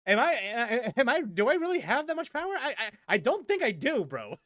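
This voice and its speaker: A man's voice: extremely high pitch, big pitch swings, brisk, fairly bright tone, dry recording, studio quality. A man streaming casual game chat, rambling and often repeating his phrases for emphasis. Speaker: gaming streamer answering viewers. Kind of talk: casual livestream chat about games.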